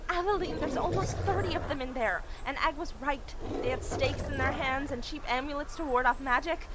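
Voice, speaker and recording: A woman's voice: sits high, a little fast, heavy background noise, lightly acted. A woman acting in an audio drama as an indecisive young city witch, nervous and hesitant. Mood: anxious.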